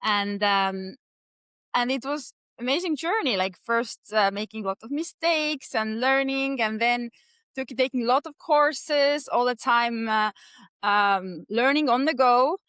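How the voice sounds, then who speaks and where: A woman's voice: fairly high pitch, a little slow, fairly bright tone, clear, resonant tone, dry recording. A woman in her thirties with an Estonian accent, answering interview questions earnestly with many hesitations. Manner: frequent hesitations and restarts, earnest. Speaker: podcast guest.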